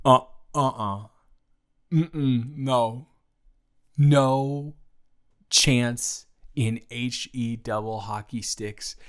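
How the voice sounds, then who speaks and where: A man playing a teasing, supportive male roommate in an improvised romantic audio roleplay, talking casually and stammering, with animated outbursts. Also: medium pitch. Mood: surprised, incredulous. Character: male roommate and friend, teasing, supportive, indignant on her behalf.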